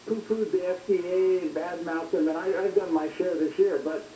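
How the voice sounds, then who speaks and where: A man's voice: high-pitched, fairly even intonation, moderate pace, mellow. A man speaking as a doctor guest on talk radio, explaining things informally and repeating phrases as he goes. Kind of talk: conversational medical explainer. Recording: roomy, hissy, faint noise.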